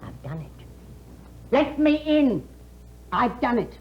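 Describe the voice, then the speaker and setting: A slow, sinister old aunt. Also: female voice, fairly high pitch, sing-song. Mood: ominous. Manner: slow, ominous, with eerie chuckles. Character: elderly aunt, slow sinister family storyteller. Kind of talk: 1950s radio drama acting. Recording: dull, hissy 1950s radio broadcast.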